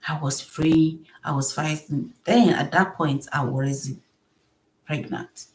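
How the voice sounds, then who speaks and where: A woman with a soft voice, earnestly telling an emotional personal story as a podcast host. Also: sits low, takes it slowly, poor audio. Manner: earnest, emotional, acts out quoted speech.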